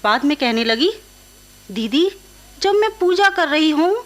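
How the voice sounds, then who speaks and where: A young woman of the household in a radio drama. Her voice is girlish and strikingly high. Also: animated, unhurried. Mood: complaining. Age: young adult. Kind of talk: Hindi radio play acting.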